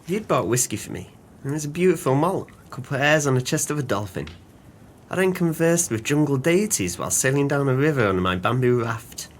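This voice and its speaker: A man's voice: mid-range voice, expressive, brisk, a little hiss or hum, natural voice. A man playing a drunken, dreamy, gullible former sailor in an audio play, earnest and whimsical.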